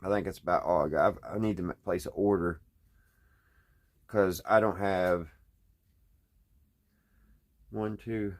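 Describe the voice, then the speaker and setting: Low-voiced folksy man. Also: fairly even intonation, measured pace, pauses often, a little mellow. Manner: folksy, thinking aloud while working. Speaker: hobbyist radio repairer, video host. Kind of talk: casual unscripted hands-on repair vlog. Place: indoors at a workbench.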